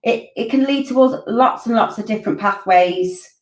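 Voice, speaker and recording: A woman's voice: fairly high pitch, moderate pace. A woman in her forties hosting a college Q&A stream from home, answering viewer questions informally with lots of ums and restarts on a laptop webcam. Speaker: college curriculum director hosting a Q&A. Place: home room, indoors. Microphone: laptop webcam on a video call. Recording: roomy laptop mic from a distance.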